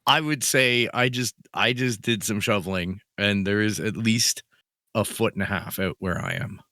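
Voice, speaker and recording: A man from Canada co-hosting a casual podcast, his voice a little rough, easygoing and rambling. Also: lowish voice, moderate pace, sharp tone, dry recording, clean studio sound. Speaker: podcast co-host.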